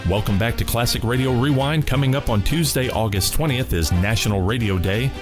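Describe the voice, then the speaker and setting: A man's voice: mid-range voice, a little fast. A man hosting a radio show, reading scripted links and announcements in a presenter's style. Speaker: host of old-time radio rewind show. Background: guitar music.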